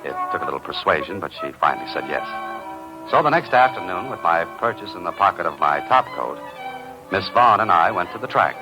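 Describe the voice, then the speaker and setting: A man's voice: low voice, sing-song, a little fast, rough recording. A man playing a cool, dryly sly investigator who narrates his own adventure in a 1950s radio mystery. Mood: wry, measured. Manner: dry, playfully sly, clipped. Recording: vintage radio recording with music.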